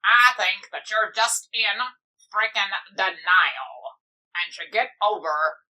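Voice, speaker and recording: A woman playing a boastful, excitable, playfully bossy pony pirate captain in a cartoon fan dub, with theatrical pirate talk. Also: mid-range voice, slow, heavily acted, low-quality recording. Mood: teasing, opinionated. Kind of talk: fan comic dub voice acting.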